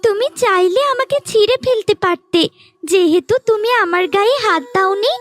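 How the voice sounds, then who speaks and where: A woman voicing a gentle magical talking flower in a fairy-tale cartoon. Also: very high voice, normal speed. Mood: kind, grateful.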